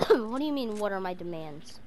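A woman's voice: mid-range voice, animated, brisk, a little mellow. A woman improvising role-play in a game voice chat, with a blunt, teasing way of talking. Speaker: player in a role-play gaming session. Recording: noisy home headset game chat.

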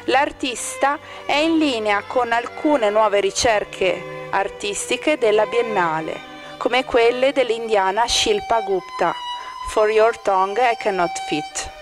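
A woman reading a scripted art-exhibition commentary in a measured, formal manner. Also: on the high side, over music. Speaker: exhibition narrator. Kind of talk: scripted art-critic narration.

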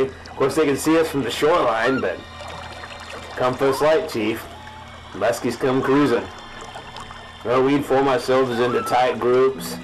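A man's voice: medium pitch, close to an everyday voice, poor audio. Man playing a grave old-salt fisherman over music. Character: grizzled veteran muskie fisherman recounting a grim ordeal, spoofing a salty sea hunter. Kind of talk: parody radio drama monologue.

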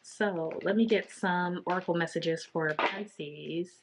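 A woman in her thirties, a little raspy from long talking, giving a casual tarot reading to the camera from a small home office. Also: lowish voice, heavy background noise. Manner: intuitive, repetitive, drawn-out card naming. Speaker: tarot reader.

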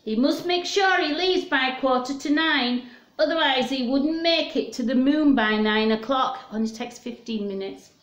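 A woman's voice: high-pitched, normal speed, a bit bright. A woman, presenting storytime and reading a picture book aloud to children in simple, questioning, child-directed talk. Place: small room at home, indoors. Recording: roomy, echoey home recording.

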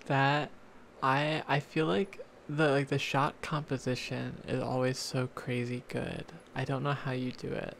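A man hosting a casual livestream Q&A on a video call, chatty and quick to laugh, reading out questions from chat. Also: medium pitch, completely flat delivery, creaky, fairly bright tone, slight background noise.

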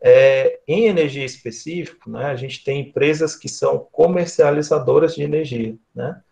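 A man's voice: on the high side, normal speed, vocal fry, dark tone. A man in his thirties with a Northeastern Brazilian accent, explaining conversationally on a video call and often checking for agreement with short tag questions. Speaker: guest speaker, lecturer. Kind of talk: conversational online talk. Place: small indoor room, video call. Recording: dull, lo-fi video call audio.

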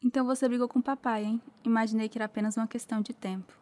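An actress playing a plain-spoken, supportive sister in audio drama dialogue. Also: female voice, fairly high pitch, steady tone, very fast, dark tone, slight background noise, close to an everyday voice. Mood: frank, supportive.

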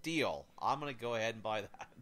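A man on a gaming podcast with a lowish voice that swings up and down with animation. He rambles excitedly, working through numbers out loud as he goes. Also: fairly quick. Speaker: podcast co-host. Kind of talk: casual gaming chat.

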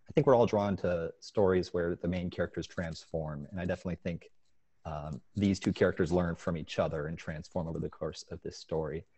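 A young man with a low-pitched voice speaks as an author at an online story event. He is at home on a video call, talking into an earphone mic. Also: a little mellow. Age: young adult. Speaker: author reading from his short story. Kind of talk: informal talk and story reading at an online event. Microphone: wired earphone mic on a video call.

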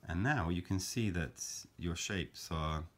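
A man calmly explaining a paper craft step by step, in a gentle, measured way with frequent fillers. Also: sits low. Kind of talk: calm step-by-step craft tutorial.

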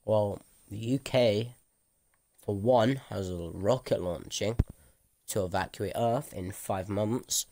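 A man's voice: lowish voice, slow, dark tone. A man playing a stubborn, hopeful zombie-outbreak survivor in casual, improvised game roleplay. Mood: calm. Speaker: roleplay performer.

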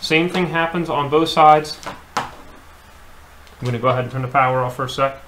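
A man's voice: medium pitch, normal speed. A man, an HVAC technician, giving a hands-on demonstration at his workbench, explaining plainly and repeating himself with many fillers. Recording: echoey room, faint background hum.

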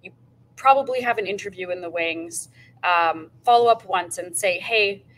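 A woman's voice: mid-range voice, normal speed, low-quality recording. A young woman, a magazine editor on a panel, giving practical dos and don'ts with frequent ums, in a meeting room heard from a camera across the room. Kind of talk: panel advice talk.

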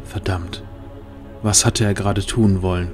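Low-voiced man narrating fantasy. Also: steady tone, measured pace, faint music behind. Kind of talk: scripted fantasy audio drama narration.

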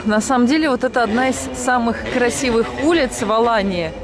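A woman vlog host narrating a relaxed walking tour to her viewers, outdoors at night on a handheld camera. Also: on the high side, a little fast, over music. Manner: relaxed guide-like commentary, speaking to viewers as friends. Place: outdoors on a city street at night. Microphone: handheld action camera. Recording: street noise behind.

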